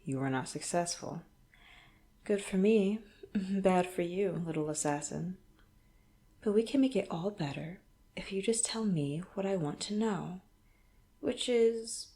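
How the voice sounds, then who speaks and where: A woman playing a smug, menacing crime boss in an audio roleplay, speaking deliberately and tauntingly with cool politeness. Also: on the low side, darkish tone. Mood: taunting, probing. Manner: deliberate, taunting, coolly polite with threatening undertone. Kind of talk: audio roleplay acting, addressed to the listener.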